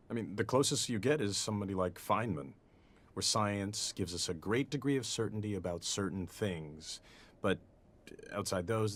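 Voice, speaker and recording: A man's voice: low voice, a little hiss or hum, natural voice. A man playing a wry, easygoing researcher who talks colloquially in a casual audio drama discussion. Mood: musing.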